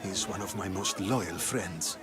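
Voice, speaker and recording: An actor playing a commanding, cautious statesman of ancient Athens in a video game, speaking formally and deliberately. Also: low voice, crowd noise. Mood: grave.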